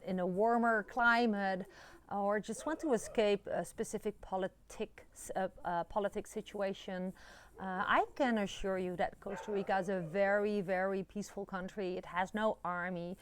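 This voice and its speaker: A woman's voice: medium pitch, fairly even intonation, moderate pace, a little hiss or hum. A woman in her forties from the Netherlands with a Dutch accent, chatting encouragingly to a phone camera outdoors, with many fillers. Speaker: vlogger. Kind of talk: casual talk to camera. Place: outdoors in a rural yard. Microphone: phone camera at arm's length.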